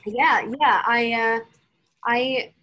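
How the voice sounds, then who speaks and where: A woman's voice: a little high, steady tone, drawn-out words, fairly bright tone. Young woman, chatty and upbeat, laptop mic on a video call, small room. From Sweden. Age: young adult. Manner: chatty and upbeat, fillers and restarts. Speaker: singer being interviewed. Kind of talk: casual video-call interview. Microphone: laptop mic on a video call, no earphones.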